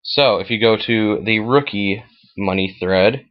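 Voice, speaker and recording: A man with an American accent and a fairly low voice talks through something on his computer screen. He explains things informally and matter-of-factly. The recording is a bit dull and lo-fi. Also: measured pace. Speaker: fantasy football league commissioner. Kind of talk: casual screen-share walkthrough.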